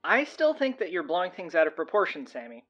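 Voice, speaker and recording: A woman's voice: fairly low pitch, very animated, fairly quick, a bit bright, muffled recording. A woman playing a sullen, haunted teenage survivor in an audio drama, defiant and withdrawn. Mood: defiant, annoyed. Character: sullen, haunted teenage survivor, dodging questions about horrors. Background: music.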